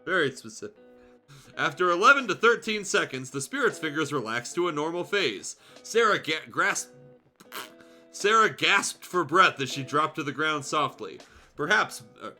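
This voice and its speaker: A man with a sharp, bright, slightly high voice reads fan fiction on a stream. He moves between narration and crude, joking commentary. Music plays behind him. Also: lively intonation, normal speed. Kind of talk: story narration with casual, irreverent asides.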